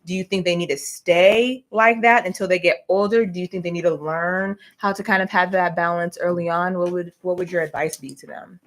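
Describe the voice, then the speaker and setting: A woman's voice: lowish voice, fairly even intonation, fairly quick, hardly any pauses. A woman hosting a casual chat interview, affirming her guest and echoing back what she hears. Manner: affirming, echoes and encourages the guest. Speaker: host, interviewer.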